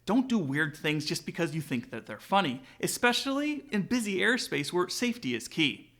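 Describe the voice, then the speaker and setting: Male vlog host for how-to videos: slightly high voice, very lively intonation, casual scripted delivery aimed straight at the viewer. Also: moderate pace. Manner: casual, direct address to viewers. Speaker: aviation vlog host, student pilot. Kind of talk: upbeat scripted tutorial narration with sponsor read.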